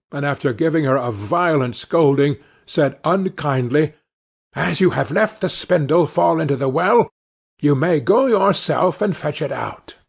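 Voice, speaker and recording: A man's voice: a little high, a little mellow, husky. A man reading a scripted fairy tale as narrator, in storytelling style.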